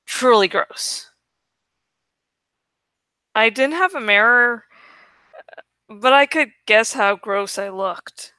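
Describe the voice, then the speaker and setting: A woman's voice: fairly high pitch, slow, pauses often, sharp tone, clean tone, no echo. A woman reading a first-person story aloud expressively, drawing out words for dramatic emphasis. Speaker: amateur audiobook reader.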